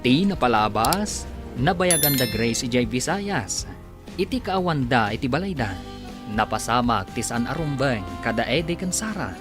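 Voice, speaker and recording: A man's voice: mid-range voice, sing-song, moderate pace, music in the background. A man narrating and announcing a radio serial in a dramatic scripted style.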